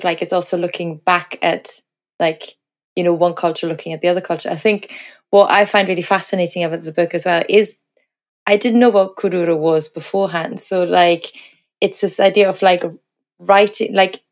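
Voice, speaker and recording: A woman interviewer talking conversationally and discursively, thinking aloud as she builds toward her questions. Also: on the low side.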